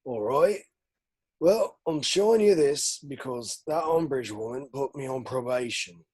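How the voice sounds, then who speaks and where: A man playing a gruff, soft-hearted half-giant gamekeeper in a script read, folksy and protective. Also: mid-range voice, lively intonation, measured pace. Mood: gruff, earnest. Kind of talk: table read of a film script.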